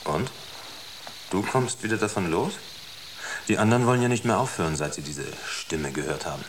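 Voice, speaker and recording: A man's voice: deep voice, lively intonation, moderate pace. A man playing a puzzled, sceptical spaceship scientist in a naturalistic radio drama, talking in matter-of-fact, clipped phrases. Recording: noisy radio drama recording.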